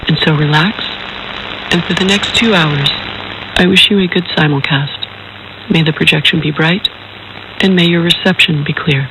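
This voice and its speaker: A man reading a scripted introduction in a measured, formal, careful way as the maker of the work. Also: fairly high pitch, background noise. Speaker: filmmaker introducing their own film and radio piece.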